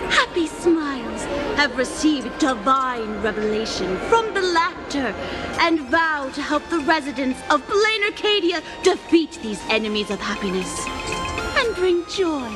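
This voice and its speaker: A woman's voice: high-pitched, animated, measured pace, music in the background. A woman playing a cheerful, zealous recruiter for a happiness society in an anime game, speaking like a polished, theatrical preacher. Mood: cheerful proclaiming.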